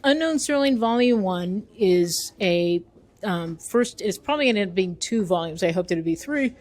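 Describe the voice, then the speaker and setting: A woman's voice: medium pitch, expressive, moderate pace, a little mellow. A middle-aged woman, an author and researcher, answering interview questions conversationally in an emphatic, opinionated, explanatory manner.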